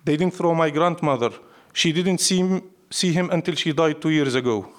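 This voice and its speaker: A man's voice: fairly high pitch, normal speed. A man from Syria with a Syrian Arabic accent, a native Arabic speaker, answering as a poet in a live interview with emphatic, declarative short phrases. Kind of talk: live interview, reflective storytelling.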